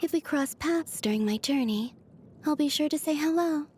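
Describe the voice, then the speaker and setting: An actress playing a dreamy, otherworldly young moon maiden in a video game, speaking in a soft, airy, musing way with innocent matter-of-factness. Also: female voice, high-pitched, normal speed, a little hiss or hum, close to an everyday voice. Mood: mildly puzzled. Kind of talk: scripted video game character voice lines. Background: background music.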